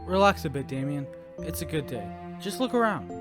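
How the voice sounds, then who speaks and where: Lively, swooping pitch marks a man playing a cheeky buddy in an audio drama. He talks in slang and loves to tease, easily excited. Loud music runs underneath him. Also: brisk. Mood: teasing. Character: Jackson, cheeky teasing young male buddy, always hungry.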